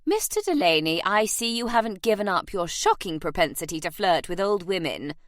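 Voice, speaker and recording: A woman's voice: medium pitch, sing-song, brisk, vocal fry, no room sound, studio quality. A woman voicing a haughty, mock-severe but fond old aristocratic lady in an audiobook novel. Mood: mock-scolding.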